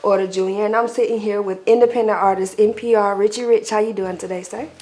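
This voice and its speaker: A woman's voice: mid-range voice, fairly even intonation, fast talker, a little mellow. Woman host asking casual questions. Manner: friendly, short encouraging replies. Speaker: interviewer host. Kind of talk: casual interview.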